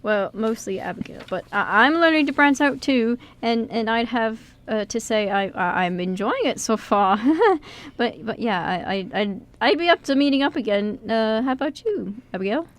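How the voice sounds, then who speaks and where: Woman playing a bumbling, stammering young man, high voice. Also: expressive, moderate pace. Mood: nervous, cheerful. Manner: heavily stammering, hesitant, self-deprecating. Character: bumbling, nervous, good-natured young man. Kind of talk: amateur radio play acting.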